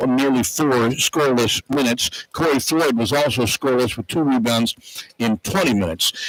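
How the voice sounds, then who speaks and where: A man's voice: medium pitch, fairly bright tone, no room sound, rough recording. A man hosting a postgame basketball radio broadcast, reading out stats as a play-by-play commentator. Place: sports arena broadcast position.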